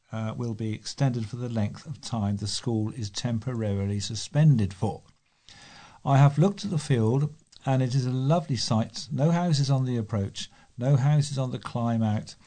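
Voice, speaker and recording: A man's voice: mid-range voice, mellow. A British man reading scripted local news as a volunteer newsreader.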